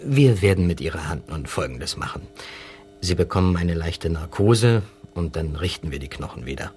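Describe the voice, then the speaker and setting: An actor playing a dry, probing doctor with a wry, measured manner in a radio drama. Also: male voice, sits low, lively intonation, slight background noise. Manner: wry, measured, quietly suspicious.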